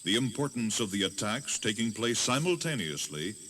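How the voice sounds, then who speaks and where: A man giving solemn, measured, dramatic scripted narration as a tour guide. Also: on the low side, big pitch swings, a bit bright, a little hiss or hum.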